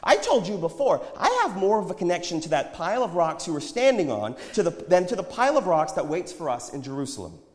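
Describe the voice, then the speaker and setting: A man's voice: high voice, sing-song, fast talker, hardly any pauses, pitched above the performer's own voice. A man voicing a prophet's angry, skeptical friend, scolding and confrontational, in a dramatized scripture story. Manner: indignant, confrontational. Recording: echoey hall with long reverb.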